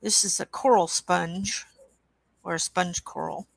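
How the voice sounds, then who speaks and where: Croaky lady coloring on stream, slow and gravelly, dry close sound. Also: fairly low pitch, steady tone, no echo. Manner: slow, unhurried, reads chat aloud with soft asides. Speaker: coloring livestream host. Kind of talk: casual chat while coloring. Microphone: webcam.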